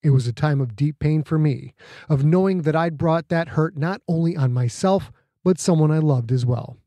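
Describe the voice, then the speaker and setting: A man's voice: fairly high pitch, normal speed, mellow, no echo. A man reading a scripted, reflective author's note aloud.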